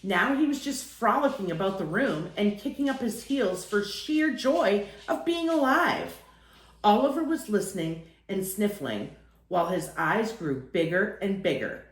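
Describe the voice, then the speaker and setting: Lively woman storyteller in a roomy space. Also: mid-range voice, measured pace. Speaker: storyteller reading a children's picture book aloud. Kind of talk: expressive read-aloud storytelling for young children.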